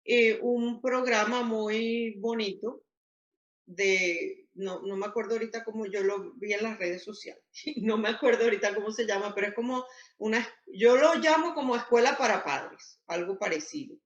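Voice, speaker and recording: A woman's voice: a little high, moderate pace, reverberant, muffled recording. A woman hosting a radio interview, talking in a friendly, familiar way with a guest she knows. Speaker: radio host.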